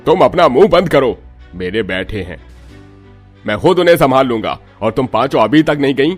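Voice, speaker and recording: Casting: male actor as stern old family patriarch for a cartoon, husky, scolding, mid-pitched. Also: animated, moderate pace, soft background music, natural voice. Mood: angry, domineering. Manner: scolding, domineering. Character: harsh older patriarch father-in-law throwing out his daughters-in-law. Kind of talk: cartoon drama acting.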